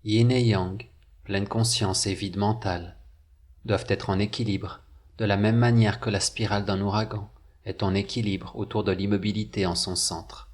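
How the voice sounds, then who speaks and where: A man narrating a scripted guided meditation, with measured, deliberate phrasing and pauses. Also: fairly low pitch, steady tone, a little mellow.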